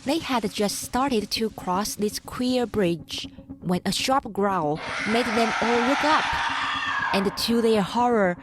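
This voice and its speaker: A woman's voice: a little high, slow pace, heavy background noise. A woman narrating a children's story from a script as an audiobook reader. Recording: music behind the voice.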